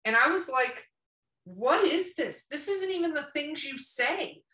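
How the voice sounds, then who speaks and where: A woman's voice: high-pitched, animated, measured pace, pushed and bright. A woman in a casual podcast chat, talking bluntly and emphatically and swearing freely. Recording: roomy echo, distant from mic.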